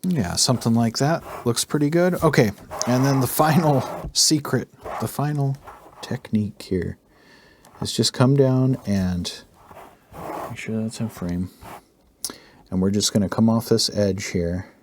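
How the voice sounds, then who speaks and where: A man narrating a relaxed drawing tutorial as the channel host, his voice slightly hoarse, talking loosely with fillers. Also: medium pitch, a little slow, pauses often, slight background noise.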